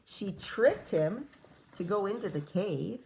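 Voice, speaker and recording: Woman, teacher, medium pitch, children's read-aloud, expressive storytelling, explanatory asides. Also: takes it slowly, creaky, background noise. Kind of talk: picture-book read-aloud with casual asides.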